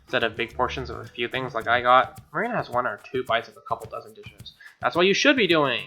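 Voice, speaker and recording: Bright, forceful streamer reading with music behind. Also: medium pitch, expressive, quick pace. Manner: slangy, exclaiming, opinionated asides. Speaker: streamer reading a visual novel aloud. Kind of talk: casual reading of narration with offhand commentary.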